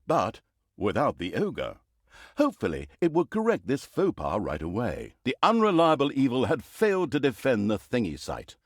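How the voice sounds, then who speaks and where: An actor playing a pompous, mocking narrator of an evil fantasy video game, with theatrical storybook delivery and dry sarcasm. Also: male voice, mid-range voice, a little mellow, no room sound. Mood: scornful sarcasm. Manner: arch, dryly sarcastic, dramatic emphasis.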